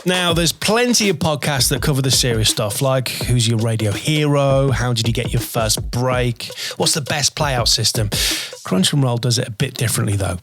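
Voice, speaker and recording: Man, podcast host, upbeat, mid pitch, faint music underneath. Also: expressive, moderate pace, fairly bright tone. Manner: upbeat, laughs often, stumbles over questions. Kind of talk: scripted intro then casual interview.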